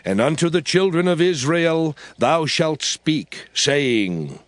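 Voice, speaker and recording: An actor playing Moses, a stern aged lawgiver giving solemn commands in a dramatized scripture reading. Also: male voice, fairly high pitch, animated, slow pace, dry recording.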